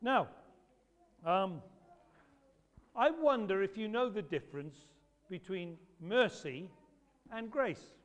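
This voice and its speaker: Loud, lively preacher in echoey church. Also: male voice, high voice, takes it slowly, pauses often, highs cut off. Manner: lively, emphatic, storytelling to children. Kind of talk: children's talk at a church service. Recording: echoey hall reverb.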